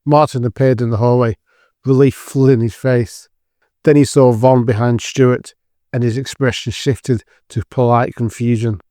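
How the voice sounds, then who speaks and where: A man's voice: mid-range voice, flat delivery, moderate pace, mellow, dry recording, studio quality. A man giving scripted narration as the storyteller of an audio drama.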